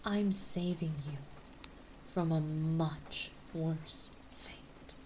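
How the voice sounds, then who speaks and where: A woman playing a gentle yet possessive, dominant vampire mistress in an ASMR roleplay, speaking softly and soothingly, often in a breathy whisper. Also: low-pitched, steady tone, slight background noise. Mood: protective, possessive. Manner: soothing, coaxing, slow and deliberate.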